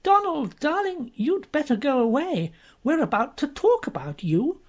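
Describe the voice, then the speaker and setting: A woman's voice: sing-song, a little slow, a little mellow. Woman doing a spiteful, sugary young woman. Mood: sweetly spiteful. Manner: sugary, mocking, high swoops.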